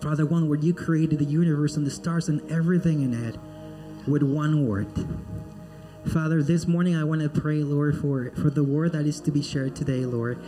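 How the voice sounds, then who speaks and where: A male missionary speaks to a church congregation, friendly and earnest. His voice is mellow and sits a little high, with faint music underneath. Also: fairly even intonation, moderate pace, low-quality recording. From Peru. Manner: friendly and joking, then earnest in prayer. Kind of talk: church talk and prayer. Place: church sanctuary.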